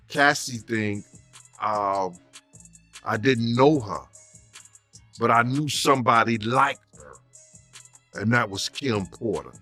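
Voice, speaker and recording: Slow, animated man talking over music. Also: medium pitch. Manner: emphatic, slangy, repetitive. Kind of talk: casual interview talk.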